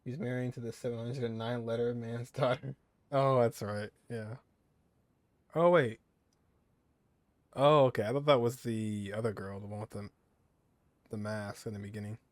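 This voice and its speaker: A man's voice: mid-range voice, flat delivery, moderate pace, long pauses, no room sound. A man giving casual, off-the-cuff commentary while playing through a video game. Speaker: gaming video creator.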